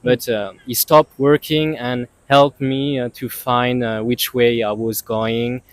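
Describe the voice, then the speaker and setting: Hesitant man, halting English. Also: medium pitch, flat delivery, clean tone, no echo. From Reunion Island, France. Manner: hesitant, many uh fillers. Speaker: tourist interviewee. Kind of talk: casual street interview.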